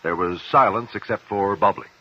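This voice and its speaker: A muffled old radio drama recording of an actor as a composed male investigator. He has a slightly rough voice and an American accent, and he questions formally and precisely, weighing each phrase. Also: lowish voice, fairly quick, lightly acted. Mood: calm, probing. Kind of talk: 1950s radio drama acting.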